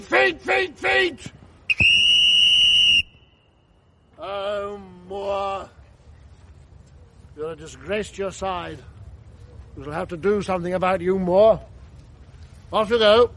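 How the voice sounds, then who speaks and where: Brisk English schoolmaster, slightly hissy recording. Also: male voice, high-pitched. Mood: bellowing, then brisk, kindly. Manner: clipped, bantering, mock-stern, old-fashioned. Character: brisk, blustering, teasing English boys' school master. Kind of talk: radio drama acting.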